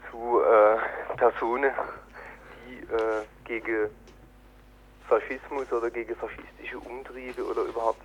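A man's voice: mid-range voice, slight background noise. Tinny phone voice, man. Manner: halting, broken phrasing. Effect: telephone. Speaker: political activist interviewee. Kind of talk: spontaneous phone interview. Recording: tinny band-limited phone line.

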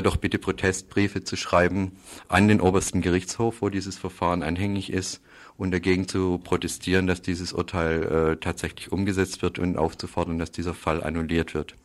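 A man explaining political news in a radio interview, halting often and repeating words as he goes. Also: low voice. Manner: halting, hesitant delivery with frequent pauses and repeated words. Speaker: interviewee reporting on Uruguay.

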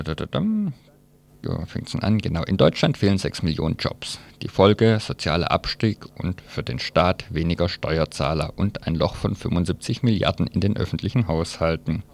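Male radio presenter, very low and gravelly, reads press items aloud with off-the-cuff, sometimes hesitant comment. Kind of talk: informal press review, reading newspapers aloud with own commentary. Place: radio studio.

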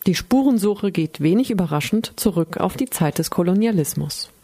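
A man's voice: sits high, lively intonation, normal speed, dark tone, dry recording. A man narrating a radio documentary feature from a prepared script.

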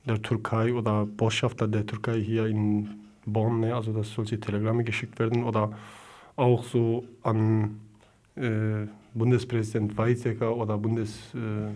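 Male interviewee, muted mellow tone, little intonation, halting spontaneous answers. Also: lowish voice, monotone. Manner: halting, searching for words. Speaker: interviewee, activist.

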